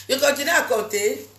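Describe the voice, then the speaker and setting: A woman's voice: fairly high pitch, a bit bright, slight background noise. Teasing, chatty woman in an echoey room. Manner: chatty, teasing and scolding her viewers. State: has a cold. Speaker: vlogger. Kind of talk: casual chat to fans.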